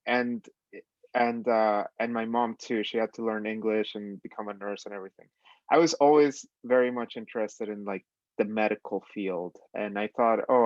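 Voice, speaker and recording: A man's voice: lowish voice, no echo. A man from Colombia answering as a trainee panelist in casual, rambling talk full of fillers, over a video call. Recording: dry, dull lo-fi call audio.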